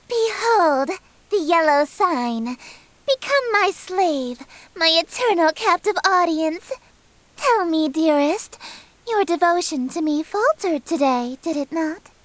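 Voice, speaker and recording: A woman's voice: high-pitched, a bit bright, full character voice. A woman voicing a haughty, menacing eldritch queen in a visual novel game, with imperious, formal and theatrical delivery. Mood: commanding, smug. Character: haughty, menacing eldritch queen who calls herself king.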